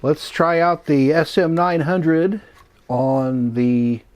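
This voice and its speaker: A man's voice: fairly high pitch, takes it slowly, darkish tone. A man hosting a casual hands-on gear demo, chatting informally as he talks through each step. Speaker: host of a vintage electronics channel.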